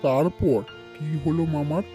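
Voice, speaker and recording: An eager young village boy from a cartoon , acted by an adult, with a soft, mellow voice. He is inquisitive and pestering, always keen on a feast. Light music plays underneath. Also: medium pitch, a little slow, natural voice. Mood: curious. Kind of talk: cartoon dialogue acting.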